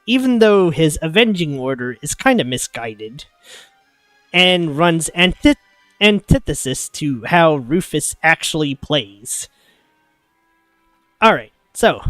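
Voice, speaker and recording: A sniffly man talking through a game with a bouncy, lively pitch that sits a bit high, taking his time over each thought. He swears casually and laughs a lot between points. A little music plays faintly under his dry, close voice. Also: no echo. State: sniffly, coming down with a cold. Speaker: streamer.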